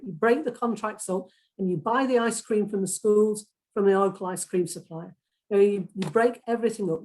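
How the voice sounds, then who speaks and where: A woman with a Lancashire accent speaks as a candidate at a hustings. Her pitch sits in an ordinary middle range. She talks in a brisk, persuasive way. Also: a little mellow, low-quality recording. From Lancashire, England. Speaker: election candidate. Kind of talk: hustings speech.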